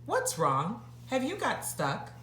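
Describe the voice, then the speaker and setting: A woman's voice: on the high side, wildly swooping pitch, slow pace, fairly bright tone, echoey room, a little hiss or hum, voice pushed up, heavily acted. A woman voicing a polite, kind, earnest little boy in a storybook style. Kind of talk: storybook character voice.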